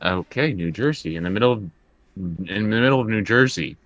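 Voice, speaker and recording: Male tabletop player, low register, dry recording, hesitant improvised delivery with repeated words. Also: expressive, brisk, a bit bright, no echo. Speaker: player in a tabletop roleplaying game. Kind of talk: improvised in-character tabletop play, conversational.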